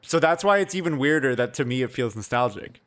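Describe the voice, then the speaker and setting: A man's voice: medium pitch, expressive, fairly quick, fairly bright tone. A young man from Canada co-hosting a podcast, talking in a thoughtful, reflective and somewhat hesitant way. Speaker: podcast co-host. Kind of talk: casual chat.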